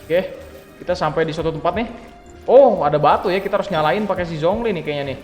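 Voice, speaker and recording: A young man giving chatty, excitable, slangy commentary as a gaming streamer. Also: a little high, very fast. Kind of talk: casual gameplay commentary. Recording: echoey room with game music.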